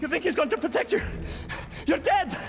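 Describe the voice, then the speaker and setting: Panicked fighter screaming in battle, super high, pitch all over. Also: male voice, big pitch swings, a little fast, gravelly, heavy background noise, exaggerated voice. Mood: frantic. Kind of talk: video game battle acting.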